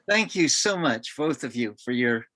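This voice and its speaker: A man hosting a roundtable, giving informal introductions in a somewhat halting way. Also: mid-range voice, fairly bright tone, slight background noise. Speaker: roundtable host. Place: online video meeting.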